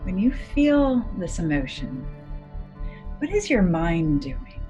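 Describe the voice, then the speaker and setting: Low-pitched woman guiding a meditation over music. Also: sing-song. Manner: gentle, measured, soothing instruction with deliberate pauses. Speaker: clinical psychologist guiding a meditation. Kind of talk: guided meditation.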